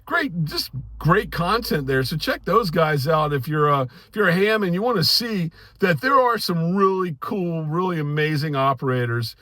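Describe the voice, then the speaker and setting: A man's voice: fairly high pitch, lively intonation, moderate pace, hardly any pauses, bright, forceful tone, no room sound. A middle-aged or older American man, a radio hobby YouTuber, talking casually and emphatically to his viewers in a rambling, opinionated monologue. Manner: informal, emphatic, rambling with "you know" and "man".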